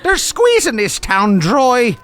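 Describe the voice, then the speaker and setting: A man's voice: very high voice, wildly swooping pitch, slow pace, fairly bright tone, faint music behind, pitched above the performer's own voice, exaggerated voice. A man playing an indignant Irish townsman in an improvised western radio play. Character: friendly old-west Irish townsman, indignant about crooked bankers.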